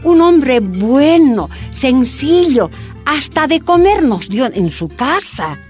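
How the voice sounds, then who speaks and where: An actress playing a plainspoken miner's wife who recounts her life in a radio drama, with a Bolivian accent and expressive delivery. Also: sits high, takes it slowly, faint music behind, exaggerated voice. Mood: admiring. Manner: animated storytelling. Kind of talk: first-person radio drama narration.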